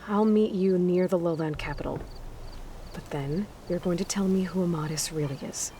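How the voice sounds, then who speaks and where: A woman's voice: on the low side, moderate pace, dark tone, slight background noise, close to an everyday voice. An actress playing a blunt, direct young female hunter in a video game cutscene. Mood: blunt, probing. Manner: blunt, probing questions. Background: game music score.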